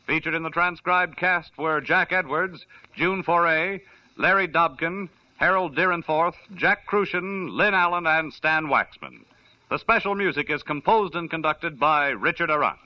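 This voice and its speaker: A man's voice: a little high, unhurried. A man working as a radio announcer, reading ads and credits in a polished, upbeat style. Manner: polished, upbeat, sing-song delivery. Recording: dull old radio broadcast.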